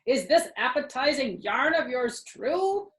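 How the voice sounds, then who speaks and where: A woman's voice: sits high, big pitch swings, measured pace, echoey room, voice pushed up. A woman voicing a greedy, grumbling male rat in a storybook reading. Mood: eager.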